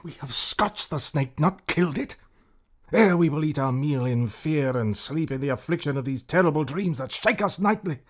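A man's voice: a little high, expressive, moderate pace. A man playing a brooding, scheming usurper king in a classical Shakespearean radio drama. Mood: tormented.

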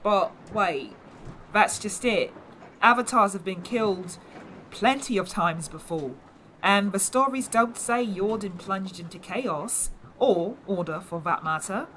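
A woman's voice: mid-range voice, lively intonation, unhurried, a bit bright, slight background noise. An actress playing a devout, skeptical older mother with folksy, rustic phrasing in a fantasy audio drama. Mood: doubtful, thoughtful.

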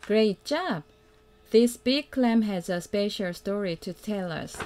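A woman reading a children's picture book aloud as a mother would, in a simple, deliberate way that invites the young listener to join in. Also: mid-range voice, lively intonation, a little mellow. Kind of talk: read-aloud children's storytelling.

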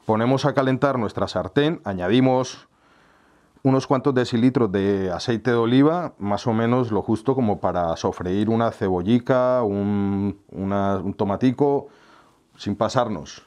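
A middle-aged man from Colombia, hosting a home cooking tutorial and calmly explaining each step with small friendly asides. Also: fairly low pitch, a little slow. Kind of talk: relaxed recipe explanation. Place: home kitchen, indoors.

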